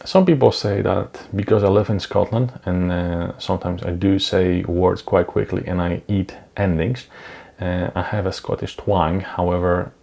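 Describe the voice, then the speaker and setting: A man in his thirties who speaks English as a second language, talking casually to camera in a home studio on a lapel mic, unhurried and with many ums and uhs. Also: sits low, steady tone, gravelly, a little mellow. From Europe. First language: not English. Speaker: vlogger. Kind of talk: casual talking-head vlog. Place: small dim home studio, indoors. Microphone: clip-on lapel mic.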